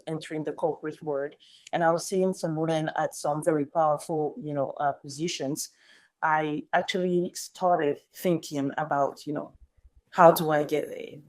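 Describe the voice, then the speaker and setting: A woman from Togo, a panel guest who speaks thoughtfully and hesitantly, with many pauses and fillers. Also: sits low, fairly even intonation, a little mellow, dry recording. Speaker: founding team member, panel guest. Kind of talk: reflective panel conversation.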